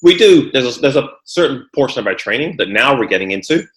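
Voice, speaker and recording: A man's voice: medium pitch, animated, quick pace. A middle-aged man from Guyana, raised in England, talking easily as a podcast guest about his sports career and often repeating words as he starts a sentence. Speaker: former Olympic hurdler and trainer, guest. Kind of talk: conversational interview. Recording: echoey room.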